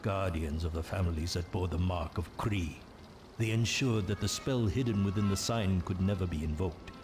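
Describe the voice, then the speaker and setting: An actor playing a solemn, portentous narrator of dark ancient legends in a video game, telling them as dramatic scripted storytelling. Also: male voice, deep voice, normal speed, noisy background, close to an everyday voice. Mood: grave, ominous.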